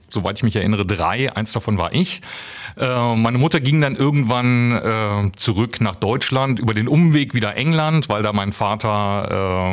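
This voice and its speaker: A man from Germany answers questions as a guest in a radio studio. His voice sits somewhat low. He talks hesitantly, filling his pauses with ums, and keeps a wry tone. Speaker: guest, writer and musician. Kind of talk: radio interview.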